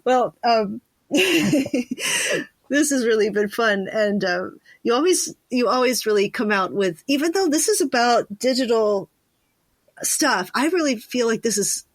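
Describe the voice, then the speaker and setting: A woman's voice: fairly high pitch, expressive, unhurried, no echo. An American woman hosting a casual interview podcast in a playful, chatty manner.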